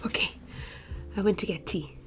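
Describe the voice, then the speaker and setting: A woman's voice: moderate pace, faint music behind. A young woman in her twenties whose first language is Portuguese, chatting casually over a sketching video with lots of "like" and "uh" fillers. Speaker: art vlogger.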